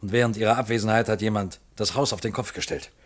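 An actor playing a police inspector in a German radio mystery, formal and polite, brisk but rattled and urgent. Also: male voice, lowish voice, fairly even intonation. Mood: businesslike. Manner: formal, polite, hesitant when shaken.

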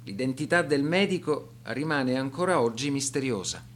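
A man narrating a scripted documentary radio drama in a formal, deliberate manner. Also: mid-range voice, expressive, a bit bright, slight background noise.

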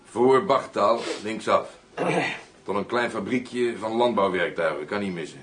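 A man's voice: on the low side, a little slow, fairly bright tone, a little hiss or hum. An actor playing a businesslike, matter-of-fact henchman in a radio drama. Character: businesslike henchman, a general's right-hand man.

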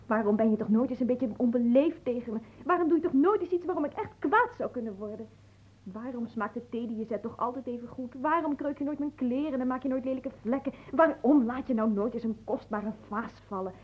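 A woman's voice: a little high, a little fast, a little mellow, husky, low-quality recording. An actress playing a bored, imperious young noblewoman in a radio drama, petulant and melodramatic. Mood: bored, goading. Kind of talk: theatrical radio drama acting.

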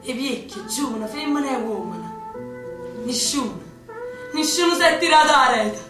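A woman's voice: high voice, normal speed, natural voice. A young woman with a Neapolitan accent acting a brave, tender partisan girl in a radio drama. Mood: stirring. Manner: pleading, emotional, dialect-heavy delivery. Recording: roomy, distant mic, music underneath.

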